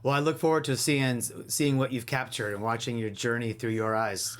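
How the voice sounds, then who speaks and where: A man's voice: medium pitch, fairly even intonation, moderate pace. Encouraging fifty-year-old male host. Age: 50s. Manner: encouraging, affirming with short replies. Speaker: podcast host. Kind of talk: interview conversation.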